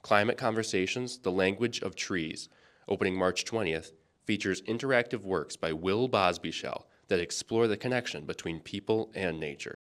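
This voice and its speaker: A man's voice: low voice, normal speed, a bit bright, dry recording, studio quality. A young man narrating scripted news packages as a student TV reporter. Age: young adult.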